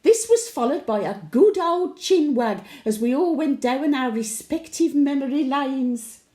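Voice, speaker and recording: A woman's voice: sits high, animated, a little slow, mellow, roomy sound. A woman playing a cheeky, wistful elderly market-trader widow with a Birmingham accent, telling her tales in a chatty, colloquial audio monologue. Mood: chatty, reflective. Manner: colloquial storytelling with dry chuckling asides. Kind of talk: audio monologue, chatty diary addressed to late husband.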